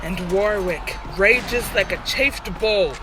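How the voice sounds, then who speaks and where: A woman's voice: mid-range voice, slow pace, natural voice, poor audio. A woman playing an alarmed young prince in a Shakespearean audio drama, calling out urgently over music. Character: urgent young prince warning his father to flee. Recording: music under the voice.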